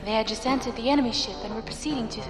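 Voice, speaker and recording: Actress as dutiful sci-fi ship mind, precise, deferential, echoey sound with prominent music behind. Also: female voice, fairly high pitch, racing, natural voice. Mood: formal, reporting. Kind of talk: science fiction audio drama acting. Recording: echoing hall reverb, music behind.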